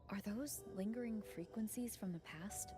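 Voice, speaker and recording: A woman's voice: medium pitch, normal speed, over music, natural voice. A woman playing an earnest, observant young female adventurer in an anime game. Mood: attentive, curious.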